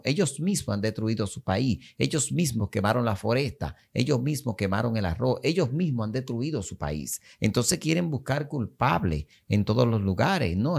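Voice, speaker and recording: A man's voice: medium pitch, gravelly, darkish tone, breathy edge. A man in his forties with a Dominican Spanish accent, talking emphatically and repeating his points as a podcast guest. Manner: emphatic, repetitive, gestures while talking. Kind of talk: podcast conversation. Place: small studio set. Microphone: studio mic on boom arm.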